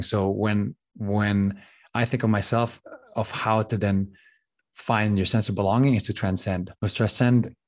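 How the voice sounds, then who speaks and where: A man from the Philippines, a guest on a talk show. His voice sits on the low side, and he speaks earnestly and reflectively. He often circles back, repeating words while he searches for what to say. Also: a little mellow. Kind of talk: reflective casual conversation.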